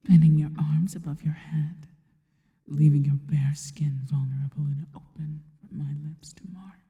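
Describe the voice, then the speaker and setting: A woman's voice: low voice, steady tone, phone-quality sound, close to an everyday voice. Woman acting a whispering, devoted spider monster girl. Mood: possessive, teasing. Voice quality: soft, breathy whisper. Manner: slow, deliberate, reverent. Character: devoted, worshipful female spider monster girl, adoring and possessive. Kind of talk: whispered intimate ASMR roleplay.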